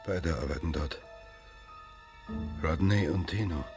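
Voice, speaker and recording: A man's voice: sits low, slow pace, over loud music. An actor playing a terse, guarded man in a radio crime drama. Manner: terse, controlled. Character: terse, guarded male actor turned investigator.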